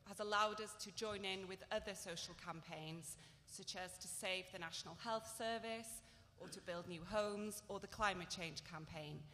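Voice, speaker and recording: A woman with a Welsh accent, a campaigns officer, briskly introducing speakers. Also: mid-range voice, fairly bright tone. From Wales. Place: large hall. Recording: echoey hall, faint noise.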